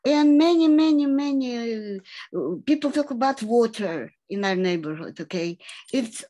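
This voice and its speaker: A woman whose first language is Russian, asking a long, emphatic question in halting English on a video call. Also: a little high, animated, mellow. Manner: halting non-native English, emphatic complaints. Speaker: audience member asking a question.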